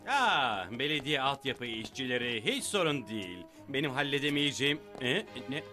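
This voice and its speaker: A man's voice: medium pitch, lively intonation, normal speed, over music, lightly acted. An actor playing a big, naive, pompous superhero man in a dubbed cartoon, with theatrical delivery. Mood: bluntly confident.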